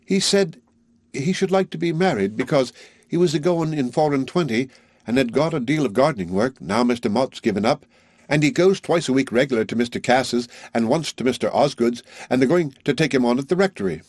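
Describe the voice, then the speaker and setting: A man reading aloud, voicing an earnest, loving young village girl who talks gently and simply. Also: medium pitch, animated, a little fast, no room sound, studio quality, pitched above the performer's own voice, natural voice. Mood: tender.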